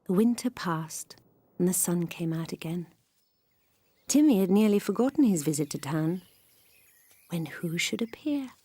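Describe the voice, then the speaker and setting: A woman, playing the gentle narrator of a scripted storybook cartoon. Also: fairly low pitch, expressive, unhurried, pauses often, slightly rough, no echo. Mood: gentle storytelling.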